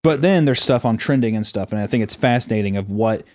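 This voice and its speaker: A man's voice: lowish voice, fast talker, a little mellow. A man co-hosting a podcast, talking casually in long rambling stretches full of restarts and filler words. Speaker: podcast co-host. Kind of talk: casual chat.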